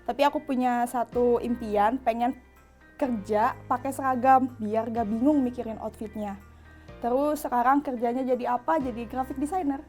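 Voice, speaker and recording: Higher-voiced young woman, easygoing. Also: steady tone, moderate pace, darkish tone, faint music behind. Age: young adult. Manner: easygoing, chatty. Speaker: interviewee, graphic designer. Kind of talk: casual interview answers.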